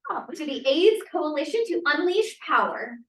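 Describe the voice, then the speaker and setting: Casting: actress as spirited female activist, high-pitched and very animated, roomy table-read sound. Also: a little slow, heavily acted. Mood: rousing. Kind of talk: staged table read of a play.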